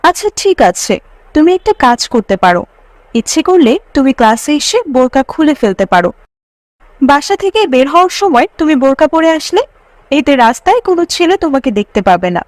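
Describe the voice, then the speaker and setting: A woman's voice: high-pitched, very animated, fairly quick, dry recording. A woman voicing a breezy, persuasive modern college girl in a moral-tale cartoon, casual and coaxing.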